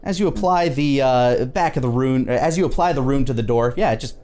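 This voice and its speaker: A man's voice: mid-range voice, darkish tone, a little hiss or hum. Casual man narrating a tabletop game. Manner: casual, halting, conversational. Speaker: game master.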